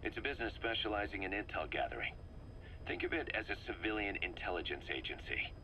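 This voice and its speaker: A deep, hard-bitten mercenary commander. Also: male voice, animated, fast talker, bright, forceful tone, a little hiss or hum, poor audio. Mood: resolute. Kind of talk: dramatic video game acting, expository briefing.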